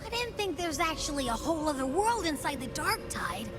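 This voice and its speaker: An actress playing a plucky, chatty, easily spooked young companion in a video game, with an expressive, casual delivery. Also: female voice, sits high, brisk, music in the background. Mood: uneasy, curious. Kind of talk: animated video game acting.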